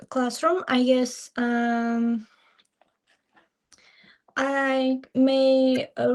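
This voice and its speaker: Casting: young woman from Uzbekistan, higher voice, slow and hesitant in class talk. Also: a little mellow, poor audio. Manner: hesitant, says like a lot. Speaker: student discussing. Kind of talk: class discussion.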